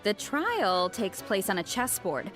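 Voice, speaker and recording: A woman's voice: medium pitch, very animated, a little slow. A woman presenting a program in a scripted conversational style. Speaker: presenter of a game special program. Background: music.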